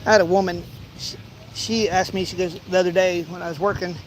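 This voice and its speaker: A man's voice: sits high, fairly even intonation, fairly quick, poor audio. A man in his forties chatting casually as a fishing vlogger, rambling through anecdotes and acting out little exchanges. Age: 40s. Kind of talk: casual chat while fishing. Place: outdoors by a pond. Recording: some outdoor ambient noise.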